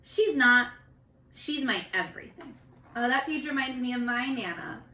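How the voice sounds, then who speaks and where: A woman reading a picture book aloud to young children in an expressive, instructive way, speaking to them directly as a teacher. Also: fairly high pitch, moderate pace. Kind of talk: read-aloud for young children with questions to the listeners. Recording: echoey room, distant microphone.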